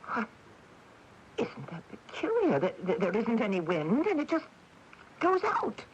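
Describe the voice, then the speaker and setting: A woman's voice: fairly high pitch, wildly swooping pitch, measured pace. An actress playing a polite, sympathetic, effusive lady homeowner in a 1980s radio drama. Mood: concerned. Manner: genteel, effusive. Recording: dull, slightly noisy 1980s radio taping.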